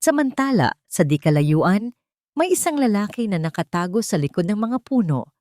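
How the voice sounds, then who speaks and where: A woman's voice: mid-range voice, big pitch swings, normal speed, darkish tone, dry recording. A woman narrator reading a scripted dramatic story for an audiobook.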